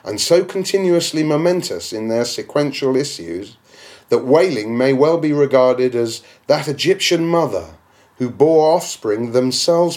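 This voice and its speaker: A man's voice: medium pitch, a little mellow. A man reading a classic novel aloud as an audiobook, with rhetorical, declamatory phrasing. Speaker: audiobook reader. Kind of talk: literary audiobook narration.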